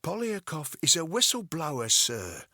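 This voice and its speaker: A man voicing a young female agent who speaks quietly and reasons respectfully with her superior. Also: on the high side, huge pitch swings, moderate pace, no echo, studio quality, pitched above the performer's own voice, heavily acted. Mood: persuasive. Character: calm young female agent, gently persuasive.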